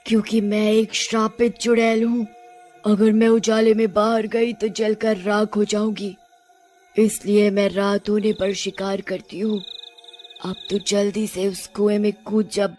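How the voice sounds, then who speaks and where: A woman's voice: medium pitch, level tone, a little mellow, faint music behind, natural voice. Woman voicing a cruel, taunting cackling witch. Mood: threatening. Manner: taunting, drawn-out words with cackling. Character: cursed man-eating witch, female, mocking, cruel and menacing. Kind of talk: dramatic children's moral story acting.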